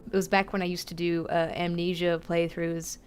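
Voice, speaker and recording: A woman's voice: fairly low pitch, normal speed, slight background noise. Chatty, giggly woman streamer. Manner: chatty, excitable, giggly, talks to chat. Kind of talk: casual live gameplay commentary.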